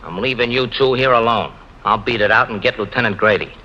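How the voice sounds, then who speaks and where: An actor playing a brisk, crafty, commanding male detective in clipped 1950s radio drama style. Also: medium pitch, bright, forceful tone. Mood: sly instruction. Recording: dull, noisy old radio recording.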